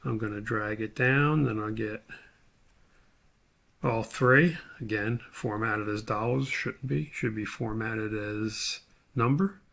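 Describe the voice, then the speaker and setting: Creaky-voiced man walking through a tutorial. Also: fairly low pitch, slow, fairly bright tone. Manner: thinks aloud step by step while demonstrating. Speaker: instructor. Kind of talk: informal spreadsheet screen tutorial.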